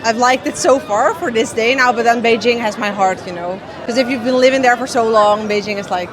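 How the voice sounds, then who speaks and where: A young woman from the Netherlands, back as a tourist, chatting warmly about her years living abroad. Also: fairly high pitch, fairly quick, a crowd in the background. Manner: warm, talkative storytelling. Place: busy city street outdoors. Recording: crowded street background.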